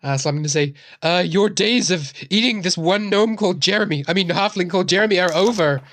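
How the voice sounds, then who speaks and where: A woman's voice: lowish voice, fast talker. A woman playing a tabletop role-playing game. She speaks in a casual, improvised way, with dry, joking delivery. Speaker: tabletop RPG player.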